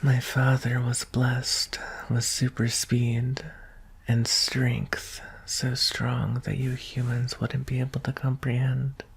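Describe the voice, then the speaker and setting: A performer voicing a shy, anxious young alien prince in an ASMR roleplay, speaking softly and hesitantly in a breathy, husky half-whisper. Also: medium pitch, completely flat delivery, exaggerated voice. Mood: soft, explaining. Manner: hesitant, halting, tender. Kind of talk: ASMR roleplay, soft intimate delivery.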